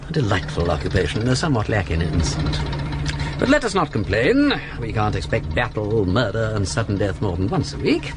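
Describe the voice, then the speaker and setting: An actor playing a breezy, witty gentleman sleuth in a radio drama, with an upper-class English accent and a suave, facetious drawl. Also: lowish voice, huge pitch swings, a little fast, heavy background noise. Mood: teasing, needling. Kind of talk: BBC radio drama acting.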